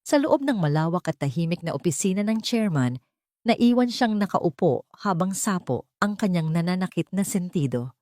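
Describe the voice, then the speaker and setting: A woman storyteller with a slightly low voice reads a scripted drama. The recording is dry and close, with hardly any room around her narration. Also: darkish tone, no room sound.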